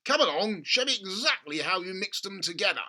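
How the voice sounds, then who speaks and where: A storybook reader voicing an excitable, impatient middle-aged father with an urgent, exclaiming manner. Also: medium pitch, fairly quick, pitched above the performer's own voice. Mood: eager, bossy. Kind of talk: children's storybook character voice.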